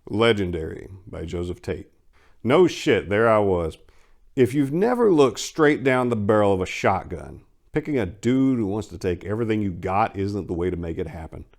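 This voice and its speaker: Theatrical storyteller man with wide-swinging pitch. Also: sing-song, moderate pace, a little mellow. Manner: theatrical, emphatic, wry. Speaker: writer and channel host performing dramatic readings. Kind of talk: dramatic first-person story reading.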